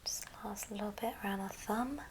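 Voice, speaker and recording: Woman whispering asmr craft how-to, soft and slow w pauses, noisy recording with little clicks. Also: mid-range voice. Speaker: ASMR craft video host.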